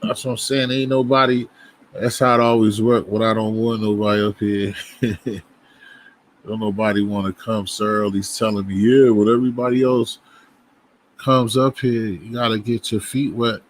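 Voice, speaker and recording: A man's voice: on the low side, little pitch movement, normal speed, slight background noise, poor audio. A man from Boston hosting his own livestream, chatting loosely with viewers and greeting them as they come in. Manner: loose, rambling talk to viewers, frequent restarts. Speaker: livestream host. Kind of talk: casual livestream chat.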